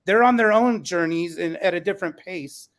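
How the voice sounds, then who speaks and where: A man's voice: high voice, moderate pace, a bit bright. A man who hosts a podcast and teaches, moderating a panel with encouraging, affirming replies to his guests. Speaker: podcast host and school teacher.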